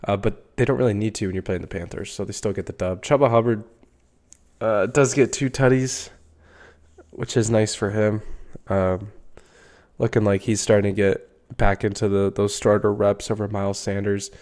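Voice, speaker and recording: Young male podcast host, stuffy nose, lower register, loose filler-heavy sports chat. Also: fairly even intonation, moderate pace, darkish tone. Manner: rambling, filler-heavy, swears casually. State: congested. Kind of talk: casual solo sports talk.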